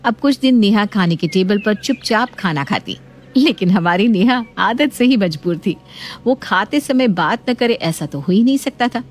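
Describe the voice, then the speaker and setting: A woman's voice: medium pitch, lively intonation, moderate pace. A woman narrating a scripted family story as a storyteller.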